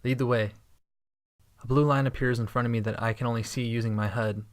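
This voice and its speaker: A man reading scripted first-person sci-fi narration in a steady, understated way. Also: mid-range voice, quick pace. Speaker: narrator and protagonist.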